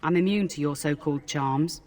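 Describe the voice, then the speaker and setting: A woman's voice: low voice, normal speed, darkish tone, close to an everyday voice. A woman voicing a cranky older castle cook who scolds and blusters, in fantasy video game acting. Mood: grumbling.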